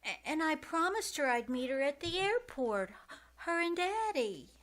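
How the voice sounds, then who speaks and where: A woman's voice: high-pitched, animated, measured pace, a bit bright, rough recording. A woman playing an eager, anxious little girl in an old-time radio drama. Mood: worried, pleading.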